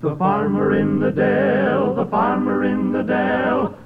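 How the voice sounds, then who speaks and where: A man's voice: extremely high pitch, slow pace. A man singing in a vocal quartet in the light operetta style of old radio. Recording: muffled vintage radio music.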